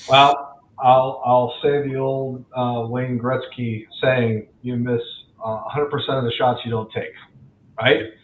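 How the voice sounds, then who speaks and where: A man's voice: mid-range voice, flat delivery, unhurried, slight background noise. A man in his forties, a loan officer guest on a podcast, speaking candidly and reflectively. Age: 40s. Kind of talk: podcast interview. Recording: echoey, tinny remote call audio.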